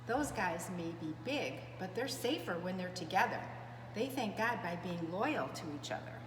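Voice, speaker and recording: A woman's voice: fairly low pitch, expressive, moderate pace, natural voice. A woman voicing a tiny, cute female bush baby in a storybook, speaking earnestly and expressively. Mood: kindly, wise. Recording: echoey reverberant room, clear background noise.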